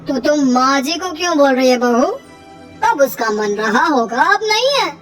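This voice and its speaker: A woman's voice: sits high, lively intonation, a little slow, distant mic. Cartoon father-in-law, played by a woman: older, domineering bully, threatening delivery, music bed. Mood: dismissive. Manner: scolding, threatening.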